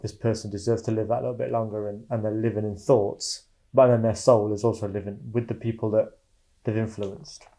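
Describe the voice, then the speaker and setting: A man's voice: lowish voice, warm tone. A man thinking aloud reflectively while answering documentary interview questions.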